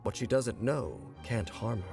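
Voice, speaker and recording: Gravelly, brooding narrator over music. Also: male voice, medium pitch, lively intonation, unhurried, darkish tone. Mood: grave, foreboding. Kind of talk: scripted game trailer narration. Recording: music behind the voice.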